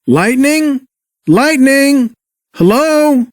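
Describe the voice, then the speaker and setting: A man voicing a goofy, excitable, easily scared tow truck in a children's read-along, folksy and exclaiming. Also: very high-pitched, drawn-out words, no echo, studio quality, pitched above the performer's own voice, exaggerated voice. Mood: panicked.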